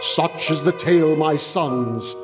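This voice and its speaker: An actor playing an earnest young country doctor who reads an old legend aloud with solemn, dramatic storytelling in a live radio play. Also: male voice, fairly high pitch, slow pace. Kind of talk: live stage radio-play acting, reading a tale aloud. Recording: live stage recording with music underscore.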